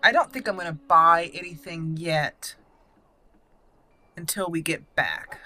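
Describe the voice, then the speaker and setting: A woman's voice: on the low side, a little slow, pushed and bright. A woman giving casual gameplay commentary, thinking aloud and reading item names out as she goes. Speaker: gaming channel host. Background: video game music.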